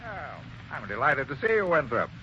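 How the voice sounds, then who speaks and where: A man's voice: medium pitch, big pitch swings, normal speed, bright, forceful tone. An actor playing a wealthy old man, a genial but commanding master, in 1940s radio style. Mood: genial, brisk. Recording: dull, faintly noisy old radio recording.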